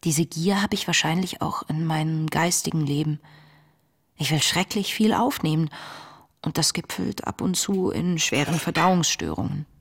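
Eine Schauspielerin spielt in einem Hörspiel eine nachdenkliche, ängstliche junge Tagebuchschreiberin, intim und bedächtig vorgetragen. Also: female voice, low-pitched, expressive, normal speed, husky, heavily acted. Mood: reflective. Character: introspective, anxious young woman reading her diary. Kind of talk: literary radio drama monologue.

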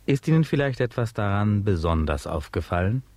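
An actor playing a formal, persistent interviewer in a German radio play, putting clipped questions. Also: male voice, lowish voice, lively intonation, a little fast, a little mellow, no room sound. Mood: formal, probing.

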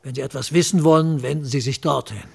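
Creaky, grumpy male pathologist. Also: medium pitch, fairly even intonation, quick pace, a little mellow. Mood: irritated. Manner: brusque, irritable. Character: grumpy male forensic pathologist, a wary municipal official. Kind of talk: radio drama acting.

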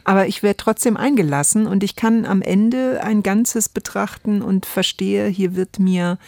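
A woman's voice: medium pitch, measured pace, gravelly, darkish tone, no echo, studio quality. A woman co-hosting a radio programme, reflecting conversationally. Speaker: radio podcast co-host.

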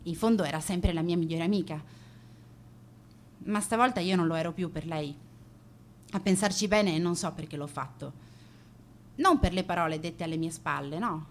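A woman's voice: lowish voice, moderate pace, pauses often, a little hiss or hum, lightly acted. An actress playing a proud, manipulative teenage schoolgirl in a radio play monologue, sardonic, self-justifying and defiant. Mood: wistful regret.

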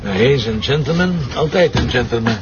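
An actor with a Flemish accent playing a dry, wry, self-assured police commissioner. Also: male voice, mid-range voice, animated, normal speed, lightly acted. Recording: muffled lo-fi TV sound with hiss.

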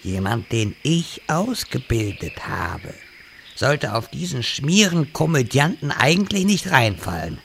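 Man acting a slow, creaky old wizard. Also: medium pitch, very animated, a bit bright, slight background noise, exaggerated voice. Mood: solemn. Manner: slow, theatrical delivery. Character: pompous old wizard, theatrical and self-important. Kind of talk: comedy audio drama acting.

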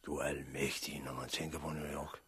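An actor playing a chastened, plain-spoken man with a raspy voice in a 1960s radio drama. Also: extremely low pitch, level tone, a bit bright, no echo, heavily acted. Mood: remorseful, tired. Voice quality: raspy, husky. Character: chastened, plain-spoken former raider, now an easygoing companion.

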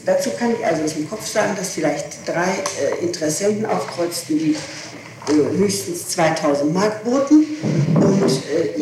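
A woman answering questions as a suspect in a police interrogation, giving her account with insistent precision about dates and times. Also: fairly low pitch. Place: reverberant room, far from the microphone. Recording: echoey, distant, noisy archival tape recording.

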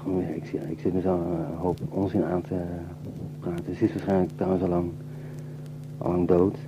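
A man's voice: low-pitched, flat delivery, normal speed, noisy background. A man playing an anxious, brooding young man in a radio play, hesitant and stammering, repeating his words. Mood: brooding, fed up. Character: anxious, brooding young Dutchman fleeing people, troubled by women. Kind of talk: naturalistic radio play dialogue and muttered monologue. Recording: dull lo-fi tape with music.

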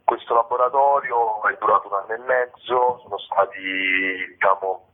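A man with a husky voice, interviewed as a theatre director and explaining his work over the phone. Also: fairly low pitch, fairly even intonation, moderate pace. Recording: muffled narrow phone line.

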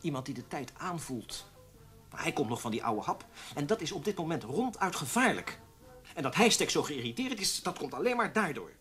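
Ambitious young journalist, vain and insistent, with very animated intonation, radio play. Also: male voice, a little high, a little fast, faint music behind. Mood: insistent, irritated. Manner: insistent, self-assured. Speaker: actor. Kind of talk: radio drama dialogue.

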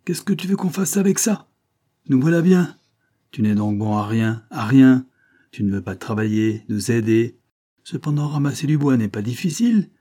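A man's voice: medium pitch, expressive, moderate pace, mellow, natural voice. A man voicing a brutal, bullying foster father in an audiobook story, scolding and giving brusque orders. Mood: furious.

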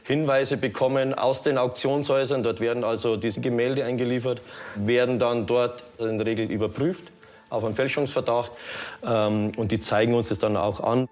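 A man's voice: mid-range voice, fairly even intonation, moderate pace, darkish tone. A man explaining his work in an unscripted interview, matter-of-fact, in long rambling sentences. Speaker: police art forgery investigator interviewed.

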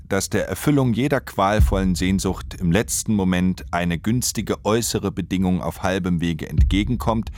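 A man's voice: low-pitched, a little mellow, dry recording. A man, narrating literary prose for a radio play.

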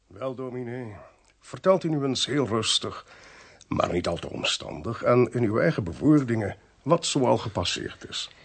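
An actor playing a measured, probing police investigator in a radio play, speaking with deliberate, formal politeness. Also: male voice, mid-range voice, sing-song, slightly rough.

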